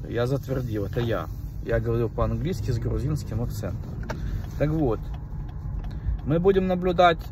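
A man's voice: medium pitch, mellow. A middle-aged man recording a rambling, preachy monologue to a phone in a car at night, with many fillers. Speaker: vlogger. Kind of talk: casual monologue to camera. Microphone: phone held at arm's length. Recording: phone mic, distant, faint car noise.

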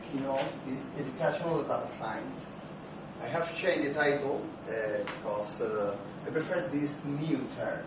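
Spanish-accented man lecturing in an echoey hall. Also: medium pitch. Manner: unamplified, halting with many fillers and restarts. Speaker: keynote speaker. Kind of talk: academic conference keynote. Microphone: far camera, no microphone. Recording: echoey hall from far off, noisy.

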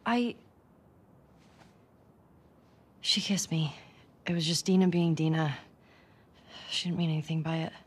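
A woman's voice: sits low, fairly even intonation, moderate pace, breathy edge. A woman acting a sullen, sarcastic young American survivor in a video game, terse and sighing, in a naturalistic style. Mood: flustered. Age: young adult.

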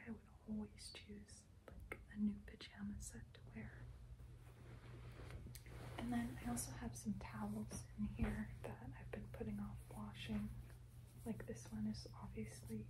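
A woman's voice: medium pitch, flat delivery, noisy background. A young woman rambling casually in an ASMR video, full of filler words. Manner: rambling, filler-heavy, unhurried. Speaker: ASMR creator.

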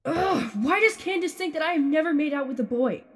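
Casting: woman for self-conscious teen heroine in audio drama, slightly high pitch, earnest, easily exasperated. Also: expressive, brisk. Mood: exasperated, defensive. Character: self-conscious, socially awkward teenage girl, defensive. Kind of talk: audio drama acting and first-person narration.

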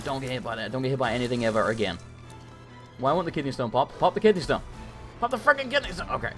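A man commentating a live gameplay stream, hoarse and losing his voice, talking frantically and swearing often. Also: fairly high pitch. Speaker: gaming streamer.

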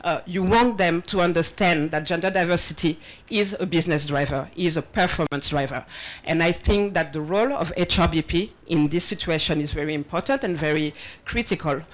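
A woman's voice: lowish voice, a little fast. Nervous woman speaker from Senegal. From Senegal, raised in France. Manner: nervous, laughing between points, many ums. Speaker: conference speaker on diversity hiring. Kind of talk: conference talk with slides. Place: large event space, partly open-air, with audience. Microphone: handheld microphone.

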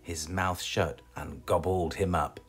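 A British man reading a children's storybook aloud in an expressive, scripted storytelling style. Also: deep voice, slow, soft background music. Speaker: storybook reader.

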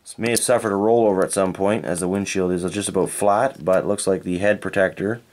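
A man from Canada reviewing toy cars in a relaxed, unhurried way, thinking aloud and correcting himself as he goes. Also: low-pitched. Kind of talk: unscripted hands-on toy review. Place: indoors at a tabletop diorama.

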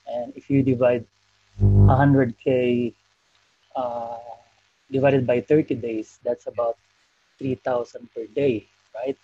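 A man from the Philippines, a guest telling his story on a video call, soft-spoken with frequent pauses. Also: medium pitch, fairly even intonation, husky, dull audio. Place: indoor room.

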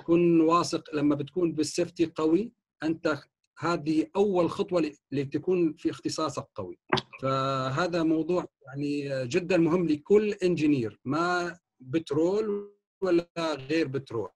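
A man's voice: a little high, moderate pace, slightly rough. A man, an engineer in an online meeting, making a suggestion with many hesitations and English technical terms. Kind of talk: earnest comment in an online meeting.

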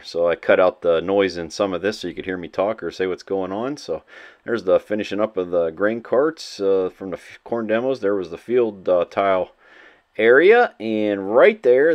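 Man from Illinois, very low voice, loose chatty voice-over, lots of "uh". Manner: relaxed, rambling, many "uh" fillers. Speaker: farmhand vlogger. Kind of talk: casual voice-over narration.

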